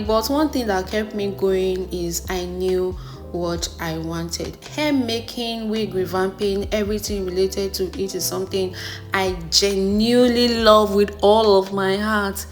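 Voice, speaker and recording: A woman vlogger, a wig maker, telling her personal story in a voice-over. She speaks earnestly and encouragingly and repeats phrases for emphasis. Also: medium pitch.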